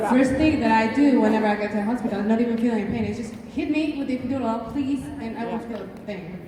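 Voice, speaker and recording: A woman from Mexico City shares a personal story as a panel speaker, halting and repeating words. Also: fairly high pitch. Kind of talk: personal testimonial to an audience. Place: large echoing event hall. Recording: echoey hall, distant mic, faint noise.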